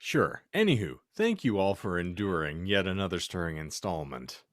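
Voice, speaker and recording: A man's voice: low voice, very animated, normal speed, no room sound, clean studio sound. A man hosting a comedy podcast casually, with a sardonic, mock-formal turn of phrase. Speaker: podcast host.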